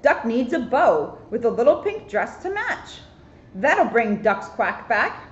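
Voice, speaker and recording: A woman voicing excitable young storybook cats with eager exclamations. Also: a little high, slow. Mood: eager, puzzled. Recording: roomy echo, muffled, faint noise.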